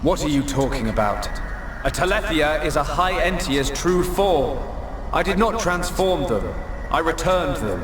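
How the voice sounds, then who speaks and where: A man voicing an arrogant creator god in a game cutscene, pompous and condescending in measured speech. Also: fairly high pitch, animated, noisy background. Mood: lofty, contemptuous. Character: arrogant godlike creator, male, serene and contemptuous. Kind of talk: dramatic game cutscene acting. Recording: echoing voice under loud score.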